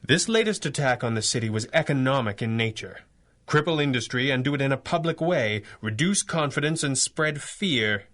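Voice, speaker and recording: An actor playing a stern, analytical masked superhero, speaking gravely in the style of a 1930s radio serial. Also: male voice, medium pitch, lively intonation, normal speed, fairly bright tone, natural voice. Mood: grave, pondering. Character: stern, commanding masked superhero crime-fighter, calmly analytical.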